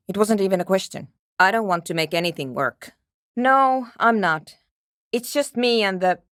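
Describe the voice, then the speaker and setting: A woman playing a brisk, matter-of-fact programmer and radio tinkerer in a video game, speaking in dry, precise, curt technical phrases. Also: medium pitch, fairly bright tone, dry recording. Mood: defensive. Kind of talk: video game character dialogue.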